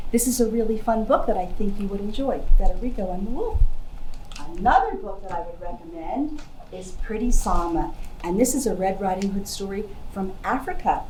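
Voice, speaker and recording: A woman's voice: medium pitch, darkish tone. A woman giving a children's library presentation, explaining things in simple words addressed to young listeners. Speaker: library outreach puppeteer and presenter. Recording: roomy echo, clear background noise.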